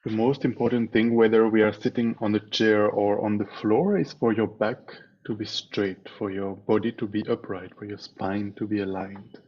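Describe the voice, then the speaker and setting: Low-pitched man slowly guiding meditation, muffled sound. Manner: measured, calm, with long pauses between phrases. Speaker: meditation teacher leading a guided session. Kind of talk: guided meditation instruction. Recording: dull, muffled online meeting audio.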